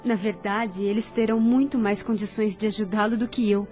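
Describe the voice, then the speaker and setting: An actress with a Brazilian accent playing a kind, modest twenty-year-old nurse in an audio drama, polite and consoling. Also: female voice, medium pitch, fairly even intonation, a little fast, faint music behind, lightly acted. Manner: polite, gentle, formal. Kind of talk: Portuguese-language audio drama acting.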